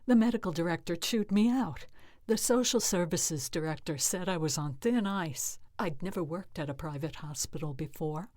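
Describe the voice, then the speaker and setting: A woman reading a short story in the first person as a weathered, frightened, bitter psychiatric patient in custody, with emotive delivery that turns hushed. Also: on the low side, moderate pace, no room sound, studio quality, close to an everyday voice. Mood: indignant, distressed. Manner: emotive, hushed at fearful moments.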